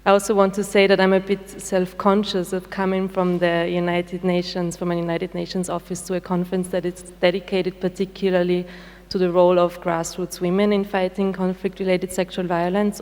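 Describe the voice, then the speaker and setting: A woman speaking for a UN office on a conference panel, in a measured, formal manner. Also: fairly low pitch, darkish tone. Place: conference hall. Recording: reverberant conference hall.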